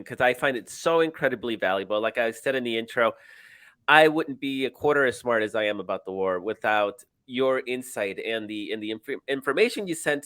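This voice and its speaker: A man's voice: medium pitch, a bit bright, clean tone, no room sound. Eager man hosting a talk show. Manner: enthusiastic, rambling with fillers. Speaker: podcast host.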